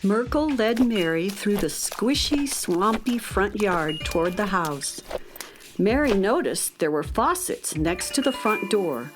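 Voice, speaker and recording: A woman acts as the calm storyteller of a children's radio play. She reads scripted narration in a mid-range voice. Faint music sits underneath. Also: mid-range voice, takes it slowly, rough recording.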